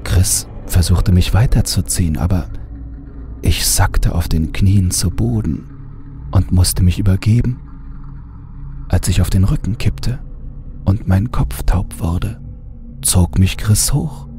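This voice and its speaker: A man narrating a horror audiobook in a hushed voice that often drops to a half-whisper. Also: sits low, slow pace, long pauses. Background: music bed.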